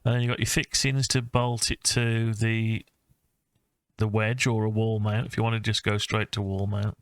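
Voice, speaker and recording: A man talking through his own design project informally, thinking aloud with hesitant, halting phrases. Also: lowish voice, level tone, clean tone, no echo. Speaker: hobbyist maker presenting own design project. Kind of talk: informal screen-recorded CAD design walkthrough.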